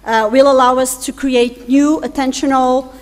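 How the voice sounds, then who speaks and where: A woman's voice: high voice, fairly even intonation, normal speed. Woman introducing a speaker in a hall. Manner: reads a warm introduction, some hesitations. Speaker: session chair. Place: large auditorium stage. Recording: long hall reverb, faint hum.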